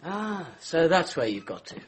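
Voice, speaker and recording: An actor playing a brisk, self-assured Scotland Yard inspector in a radio drama, with an English accent. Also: male voice, fairly high pitch, sing-song, slight background noise. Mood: curt.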